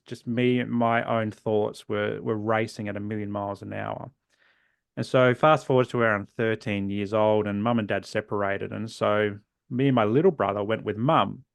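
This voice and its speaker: An Australian man in his forties, a guest in a podcast interview, telling his own story earnestly and reflectively, often trailing off softly at the ends of phrases. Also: medium pitch, gravelly, dry recording, clean studio sound. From South Australia. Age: 40s.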